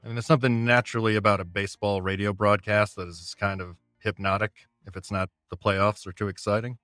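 A man being interviewed, talking casually in a halting, informal, understated way. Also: lowish voice, steady tone, a bit bright, no echo, studio quality, soft background music. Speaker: interviewee, audio fiction creator.

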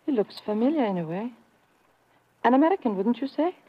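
An actress playing a suspicious, efficient sanatorium nurse, brisk and formal, in a 1950s radio drama. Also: female voice, a little high, expressive, husky. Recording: tinny narrow-band old radio.

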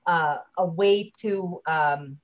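Woman guest chatting in an interview, lowish voice, halting and wordy, kinda muffled audio. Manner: chatty, halting, often leaves sentences unfinished. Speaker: guest, LinkedIn trainer and speaker. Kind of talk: conversational interview.

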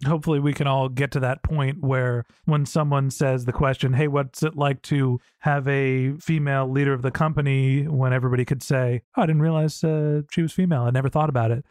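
A man's voice: medium pitch, fairly even intonation, brisk, hardly any pauses, darkish tone, no echo, studio quality. A man hosting a podcast interview, putting structured questions to his guest in a conversational way.

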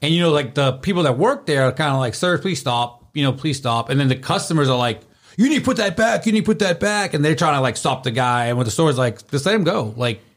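A man's voice: a little high, animated, fast talker. A man hosting a podcast in casual chat, rambling with lots of fillers. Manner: chatty, rambling with many fillers. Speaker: podcast host.

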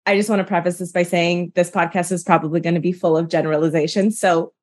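Woman from the US, podcast guest coach, lowish voice, bubbly and chatty. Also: little pitch movement, fast talker, a little mellow. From United States. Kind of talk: casual podcast conversation.

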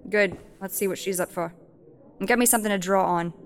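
Woman acting a pragmatic female gang boss, mid-pitched voice, blunt slangy talk, take-charge, fantasy audio drama. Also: a little fast, natural voice. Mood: wry, commanding. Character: brash, pragmatic female gang leader planning a heist.

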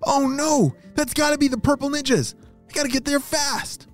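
Man playing a plucky, excitable young spy heroine. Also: very high-pitched, moderate pace, soft background music, pitched above the performer's own voice. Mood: alarmed, urgent. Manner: breathless exclamations, slangy.

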